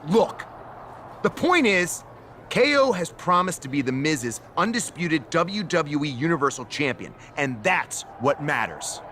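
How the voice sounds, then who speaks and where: An actor playing a scheming, smug general manager in a wrestling video game, theatrical and sarcastic. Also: male voice, a little high, big pitch swings, a little slow, sharp tone, a little hiss or hum, full character voice. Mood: smug, defensive. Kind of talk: video game cutscene acting.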